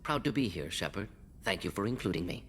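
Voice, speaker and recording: An actor playing a brisk, clinical alien scientist in a video game, speaking in clipped telegraphic sentences with small words dropped. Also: male voice, fairly low pitch, expressive, fairly bright tone, a little hiss or hum. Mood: regretful, firm. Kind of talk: scripted video game dialogue.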